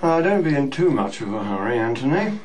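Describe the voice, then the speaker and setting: An actor playing a stoical, enigmatic senior servant in a radio drama, measured, dry and portentous. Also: male voice, mid-range voice, sing-song, darkish tone, slight background noise, lightly acted.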